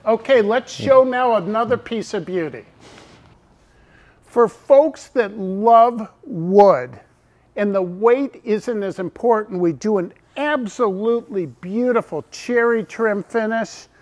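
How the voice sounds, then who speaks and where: A middle-aged man presenting new products at a launch. He talks enthusiastically and speaks to viewers and staff by name. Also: sits high. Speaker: company owner presenting boats.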